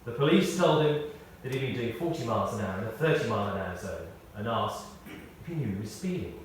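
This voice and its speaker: A British man reads a memorial tribute in a large, heavily reverberant space, picked up some way from the microphone. His delivery is measured storytelling with animated rises and falls, pausing and leaning on key words. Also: fairly low pitch. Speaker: eulogist reading a tribute. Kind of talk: scripted memorial tribute with humorous anecdotes. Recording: very echoey, distant mic, faint noise.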